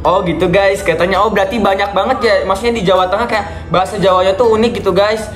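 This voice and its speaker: Chatty young man with a high voice. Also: steady tone, quick pace. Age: young adult. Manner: chatty, addresses viewers as guys, encouraging. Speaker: vlogger host. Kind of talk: casual video call chat. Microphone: video call. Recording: echoey room with faint music.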